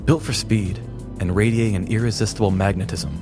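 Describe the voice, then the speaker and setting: Low-voiced man, mock-grand storyteller over music. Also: fairly even intonation, a little fast. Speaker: podcast co-host. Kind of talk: dramatic scripted comedic storytelling.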